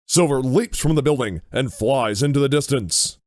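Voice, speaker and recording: A man's voice: medium pitch, lively intonation, moderate pace, no echo, studio quality. A man reading scripted narration for an audio drama as its narrator.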